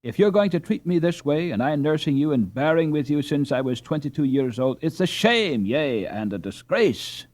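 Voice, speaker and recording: A tart, scolding middle-aged Dutch housewife from an old storybook, put on by a man reading aloud. Her voice rises high and sharp with indignation, and the recording is dry and close. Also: expressive, moderate pace, hardly any pauses, dry recording, close to an everyday voice. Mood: indignant.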